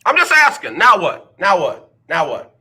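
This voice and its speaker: A man's voice: sits high, huge pitch swings, moderate pace, sharp tone, breathy edge. A man hosting a casual sports talk radio show, teasing listeners with rhetorical questions. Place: radio studio.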